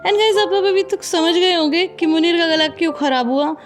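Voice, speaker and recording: A high-pitched woman narrates a plot recap in a chatty, conversational way, turning now and then to speak straight to her viewers. Clear music plays behind her voice throughout. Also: normal speed. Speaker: drama recap host. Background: background music.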